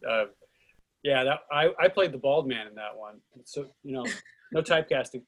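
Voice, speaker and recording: A man speaking as an actor and host in a casual discussion, halting and digressive. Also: mid-range voice, poor audio. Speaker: actor and director hosting a play reading.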